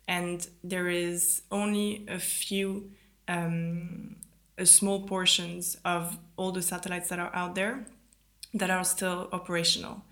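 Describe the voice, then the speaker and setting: A woman's voice: on the low side, a bit bright. A young French woman who grew up in Italy, a native French speaker, explaining her work as an interview guest. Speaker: podcast guest, ground systems engineer.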